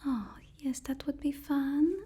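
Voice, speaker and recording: A woman's voice: sits high, fairly even intonation, takes it slowly, dark tone. A woman doing a whispered ASMR role-play as a supervillain's timid, apologetic assistant, speaking in a hesitant, placating way. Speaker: ASMR performer playing a villain's personal assistant.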